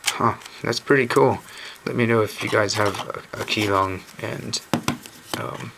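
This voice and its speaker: A hoarse man, recovering from a lost voice, chatting casually and thinking aloud as he hosts a home video. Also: lowish voice, measured pace. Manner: relaxed, thinking aloud with mild uncertainty. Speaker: cube channel host. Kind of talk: casual unboxing commentary. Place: indoors at a wooden table. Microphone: camera mic on a tabletop shot of hands. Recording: home camera audio with steady noise.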